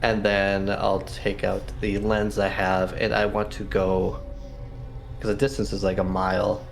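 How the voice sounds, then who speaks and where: A man with a fairly low, creaky voice plays in a tabletop roleplaying game. He talks casually and hesitantly, thinking out loud, with faint music behind him. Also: completely flat delivery, measured pace.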